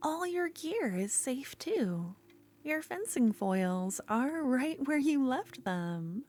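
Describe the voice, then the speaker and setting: A woman playing an obsessive, menacing yet tender duelist rival, bitterly infatuated, in an intimate, halting ASMR fantasy roleplay. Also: sits high, big pitch swings, darkish tone, exaggerated voice. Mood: soothing, possessive.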